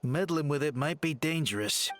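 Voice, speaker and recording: A man's voice: on the high side, lively intonation, normal speed, fairly bright tone. A man voicing a timid, easily startled young male worker in a video game, hesitant and jumpy. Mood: wary, hesitant. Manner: hesitant, jumpy, prone to yelps.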